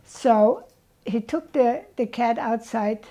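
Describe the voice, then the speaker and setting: A woman, telling her childhood story as an interviewee in a slow, reflective way. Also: a little high, warm tone. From Germany. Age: 80s. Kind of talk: reminiscing about childhood.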